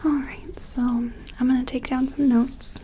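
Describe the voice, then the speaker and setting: Girl doing a soft whispery lady scientist for sci-fi asmr, mellow, hesitant, kinda awed, faint noise behind. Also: high voice, flat delivery, a little slow. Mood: quietly businesslike. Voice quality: breathy whisper mixed with soft, creaky murmur. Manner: gentle, hesitant, musing. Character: timid, awed female sci-fi scientist examining an alien. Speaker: ASMR roleplay performer.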